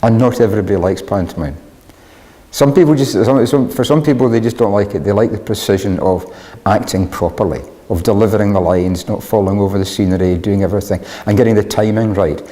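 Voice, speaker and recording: Interviewee: man, lowish mellow voice, explanatory conversational delivery, reverberant hall. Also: brisk.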